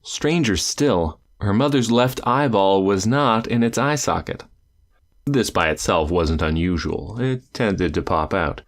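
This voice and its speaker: A man reading an audiobook aloud, narrating with measured, expressive pacing and dramatic pauses. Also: lowish voice.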